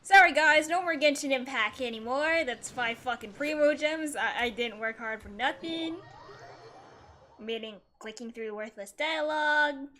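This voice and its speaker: A woman's voice: high-pitched, a little slow, bright, forceful tone, slight background noise. A woman livestreamer giving casual, slangy, blunt reactions while playing a game.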